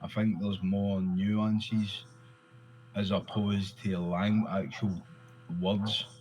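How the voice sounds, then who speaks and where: A man's voice: on the low side, flat delivery, extremely slow, darkish tone, a little hiss or hum, poor audio. A Glaswegian Scottish man in casual conversation, talking loosely, repeating himself and swearing freely. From Glasgow, Scotland.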